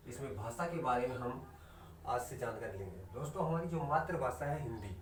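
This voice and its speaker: A man's voice: mid-range voice, slight background noise. A man teaching a Hindi class online, asking his students questions, answering them himself and repeating the key terms. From India. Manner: slows right down to stress definitions. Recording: echoey room recorded from a distance.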